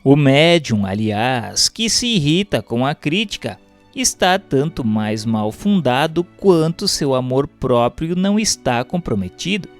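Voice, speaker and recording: A man with a Brazilian accent giving a formal, measured, didactic scripted reading of a religious text as a narrator. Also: mid-range voice, big pitch swings. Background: background music.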